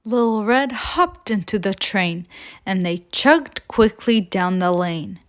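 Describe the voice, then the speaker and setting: Woman, children's storybook reader, slow pace, medium pitch, expressive dramatic phrasing. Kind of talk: expressive storybook read-aloud.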